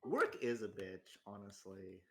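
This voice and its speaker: A man's voice: lowish voice, unhurried. A man in his twenties talking in a rambling, self-deprecating casual chat at home, with lots of restarts and fillers. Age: 20s. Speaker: host. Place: home in a rural area.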